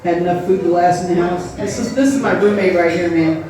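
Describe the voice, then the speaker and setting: A woman's voice: on the low side, brisk, a crowd in the background. A middle-aged woman doing casual stand-up in a bar, rambling in a folksy, self-deprecating way. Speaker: stand-up comedian and event host. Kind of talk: casual stand-up comedy set. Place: live in a bar and grill. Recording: very echoey bar, distant mic, audience.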